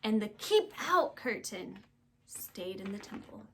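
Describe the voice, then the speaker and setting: A woman reading a storybook aloud to young children, expressive and emphatic, with dramatic pauses and hushed phrase endings. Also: medium pitch, a little hiss or hum.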